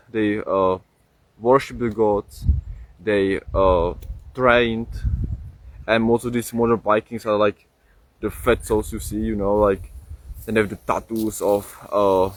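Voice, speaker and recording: A young man speaking English as a second language with a Czech accent, talking casually on a livestream, halting with many fillers and restarts. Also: fairly low pitch, steady tone, low-quality recording. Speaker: livestream host.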